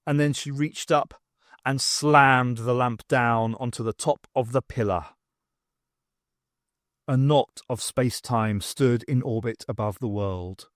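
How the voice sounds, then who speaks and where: A man's voice: mid-range voice, slow pace, no room sound, studio quality. A man reading scripted dramatic narration for an audiobook.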